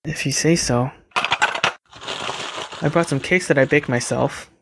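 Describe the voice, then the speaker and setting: A man's voice: fairly high pitch, a little slow, gravelly, heavy background noise. A man playing a courteous, reserved, caring young mortician in an audio drama. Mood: gently caring. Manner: courteous, understated.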